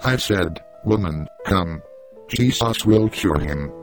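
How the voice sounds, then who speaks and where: A brash Roman soldier, acted by a man in a religious audio drama, speaking in a low male voice. He is blunt and urgent, with clear music playing behind him. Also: expressive, slow pace. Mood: urgent, troubled.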